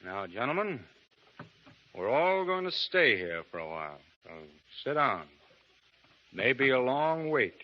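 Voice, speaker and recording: An actor playing a calm, commanding frontier lawman in a 1930s radio drama, speaking in a dry, unhurried drawl. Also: mid-range voice. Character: cool, steely male frontier gunfighter lawman, middle-aged. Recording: muffled 1930s radio broadcast.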